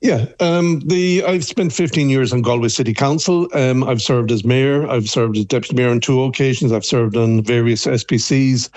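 A mature man, a native Irish speaker with a west of Ireland accent, answering a radio interview haltingly as a local councillor on a phone line. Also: mid-range voice, a little mellow, dry recording. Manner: halting, repeats words.

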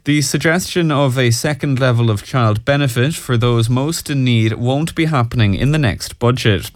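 A man's voice: medium pitch, dry recording. A man with an Irish accent reading scripted news as a radio presenter at a close studio microphone.

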